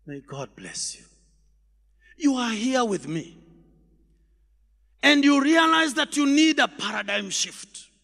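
A man preaching a sermon in a church, shouting emphatic lines and then dropping his voice low, repeating rhetorical questions to the congregation. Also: sing-song, takes it slowly, creaky, long pauses, in a large hall. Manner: repetitive call-and-response questioning.

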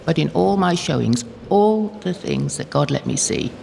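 An actress playing a devout, motherly older holy woman in an audio drama, gentle, knowing and unhurried. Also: deep voice, lively intonation, creaky, in a large hall, a little hiss or hum, heavily acted, low-quality recording. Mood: tender, preaching.

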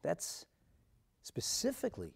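A man with a North American accent giving a religious sermon as a rabbi, emphatic and dramatic, phrasing deliberately and repeating for effect. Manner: emphatic and dramatic, swinging from hushed asides to loud declarations; deliberate phrasing with rhetorical repetition. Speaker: rabbi and Torah teacher.

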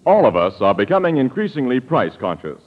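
An American male announcer delivers a 1940s radio commercial with polished emphasis. His mid-pitched voice rises and falls in a very lively way, on a narrow, tinny old recording. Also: fairly quick, faint music behind. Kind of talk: 1940s radio advertisement read.

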